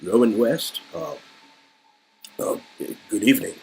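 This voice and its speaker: A man's voice: mid-range voice, animated, unhurried, vocal fry, a little mellow, soft background music. A man voicing the protective, wry young hero of an interactive story game, earnest in manner, on an amateur recording. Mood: guarded.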